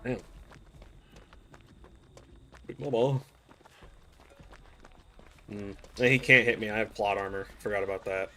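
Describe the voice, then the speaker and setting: A man with a raspy, hoarse voice from a recent cold, chatting casually to his viewers while playing a game on a live stream. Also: lowish voice, moderate pace, bright, forceful tone, slight background noise. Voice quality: raspy throat. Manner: laid-back, chatty, slangy asides to chat. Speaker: gaming streamer. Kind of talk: casual live gameplay commentary.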